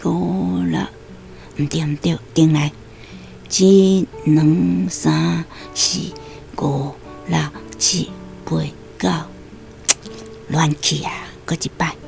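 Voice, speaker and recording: Slow-talking old Taiwanese grandmother. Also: low voice, fairly even intonation, creaky, a little mellow, soft background music, exaggerated voice. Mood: absorbed, muttering. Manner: slow, muttering to herself. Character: simple, stubborn elderly grandmother living in the city. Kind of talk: radio drama acting.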